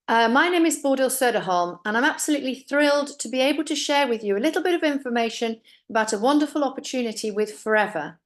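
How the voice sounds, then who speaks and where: A woman's voice: high-pitched, animated, fast, hardly any pauses. A middle-aged woman originally from Finland giving an earnest, upbeat sales presentation about a business opportunity, speaking personally to her audience.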